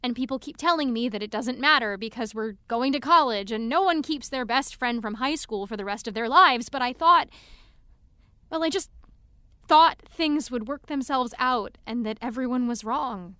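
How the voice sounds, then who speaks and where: A woman's voice: on the high side, moderate pace, sharp tone, clear, resonant tone, no room sound. A young woman playing an earnest, anxious, apologetic teenage girl in an audio drama, hesitant and rambling. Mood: hurt, confessional. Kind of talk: naturalistic audio drama acting.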